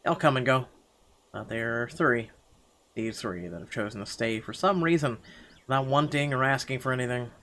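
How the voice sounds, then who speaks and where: A man reading a visual novel aloud as first-person dramatic narration, halting now and then over the words. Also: mid-range voice, sharp tone. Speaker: game player reading the text aloud.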